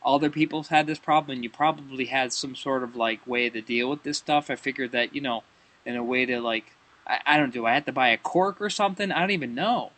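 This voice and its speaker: Bright-voiced man rambling through a prank call. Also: mid-range voice, fairly quick. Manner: rambling, stammering, repeats himself. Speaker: comedy podcast host making a prank call. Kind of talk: improvised prank phone call.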